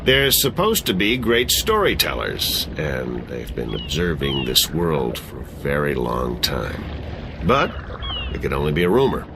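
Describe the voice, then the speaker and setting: A man's voice: rumbling low, huge pitch swings, a little slow, noisy background, exaggerated voice. An actor playing a sardonic middle-aged exile who laughs at his own jokes and loves whiskey.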